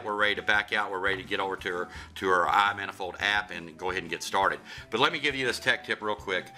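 A man's voice: on the low side, bright, forceful tone. A man with a Kentucky accent hosting a hands-on tech tutorial in a folksy, enthusiastic, repetitive way. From Kentucky, USA. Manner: folksy, enthusiastic, repeats himself. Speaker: HVAC contractor hosting tech tip videos.

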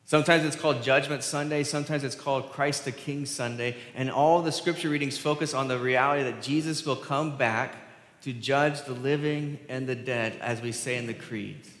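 American preacher echoing through a large church. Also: male voice, mid-range voice, steady tone, moderate pace, a bit bright. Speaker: pastor preaching. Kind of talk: conversational church sermon. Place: large church sanctuary, at the pulpit. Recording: echoey church hall, long reverb.

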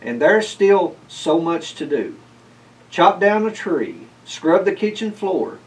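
A man's voice: sits high, sing-song, slow pace, distant mic, voice pushed up, exaggerated voice, low-quality recording. A man voicing a grumbling, practical old man in a children's storybook read-aloud. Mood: resigned. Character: grumbling, practical old male farmer-like homebody.

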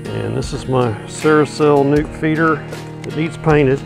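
A man's voice: a little high, unhurried, gravelly, low-quality recording. A man, a beekeeper talking casually and folksily to the camera while he works outdoors. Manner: easygoing, folksy, thinking aloud with fillers. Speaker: beekeeper vlogger. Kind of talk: casual narration while working. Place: outdoors in a wooded bee yard. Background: music and buzzing bees.

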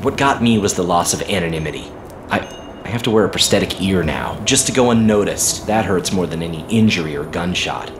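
A battered covert agent in an audio drama, earnest and defiant. His low voice is deliberate and intense, proud of his duty. Clear noise runs behind him. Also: animated. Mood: brooding, earnest. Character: wounded, defiant male covert intelligence agent, earnest and patriotic.

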